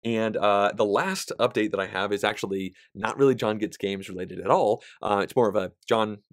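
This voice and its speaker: A man in his thirties with a somewhat nasal voice, giving a casual, animated vlog update about his board game channel. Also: fairly low pitch, moderate pace, dry recording, clean studio sound. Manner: chatty and animated, gesturing with both hands, frequent fillers. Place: small indoor studio, plain backdrop.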